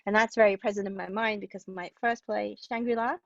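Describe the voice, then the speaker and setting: A woman from Hong Kong giving thoughtful interview answers as a guest playwright, often halting and restarting mid-sentence. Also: mid-range voice, steady tone, vocal fry, no room sound, highs cut off.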